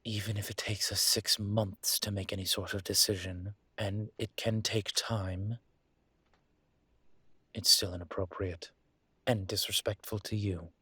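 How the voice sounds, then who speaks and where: Casting: male actor for ancient demon teacher, intimate roleplay audio. Deep, husky tone, courteous, measured and a little hesitant, with a teasing streak. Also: level tone, bright, forceful tone, dry recording, heavily acted. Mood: earnest, careful. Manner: measured, hesitant, formal wording. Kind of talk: intimate one-sided audio roleplay acting.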